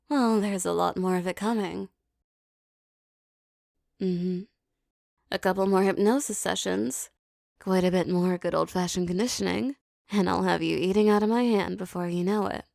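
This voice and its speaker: A woman performing a scripted hypnosis roleplay, speaking softly and commandingly with a teasing edge. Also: fairly low pitch, gravelly, no echo, clean studio sound. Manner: slow, soft, commanding and teasing.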